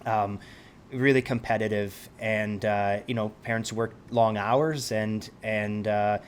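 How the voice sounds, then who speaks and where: Interview guest: man from Switzerland, lowish voice, thoughtful, stops and restarts, faint room hum. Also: steady tone, slow pace. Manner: reflective, searches for words, frequent restarts. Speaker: interview guest, helicopter pilot. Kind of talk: casual conversation.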